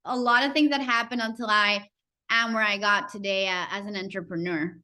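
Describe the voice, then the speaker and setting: A woman's voice: mid-range voice, fairly even intonation, fairly quick, sharp tone. A woman in her thirties from Costa Rica and Nicaragua, a podcast guest telling her story with frequent fillers. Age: 30s. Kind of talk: interview conversation.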